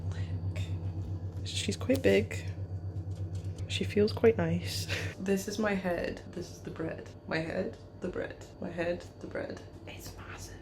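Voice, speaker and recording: A young Scottish woman talks her viewers through a baking attempt in a home kitchen, chatty and giggly, laughing at herself as she goes. Her voice has a gravelly, creaky edge. A faint hiss of noise sits under the recording. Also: lowish voice, darkish tone. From Scotland. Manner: chatty, giggly and self-deprecating. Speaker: vlogger. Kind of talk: casual baking vlog.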